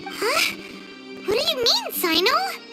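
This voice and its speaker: Paimon, a squeaky excitable little companion. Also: female voice, takes it slowly, heavily acted. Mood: puzzled. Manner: high, eager, lively. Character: small floating girl companion, excitable. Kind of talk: video game voice acting. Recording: game audio with loud music.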